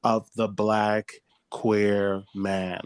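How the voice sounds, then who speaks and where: A man's voice: lowish voice, level tone, extremely slow, a crowd in the background. A man co-hosting a podcast, speaking in an emphatic, speechlike way during casual chat. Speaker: podcast co-host.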